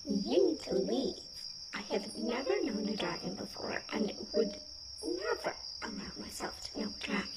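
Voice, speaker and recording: A woman's voice: on the high side, sing-song, normal speed, vocal fry, very noisy. A woman playing a stubborn, playful young tree nymph in an ASMR fantasy roleplay, theatrical and emphatic in her defiance. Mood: defiant denial. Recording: loud chirping forest ambience over voice.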